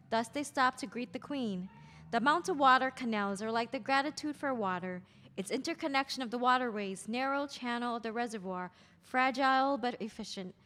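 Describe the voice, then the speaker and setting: Female narrator for stage directions, higher register, reading from script, long hall reverb. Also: pushed and bright. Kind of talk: scripted narration at a table read.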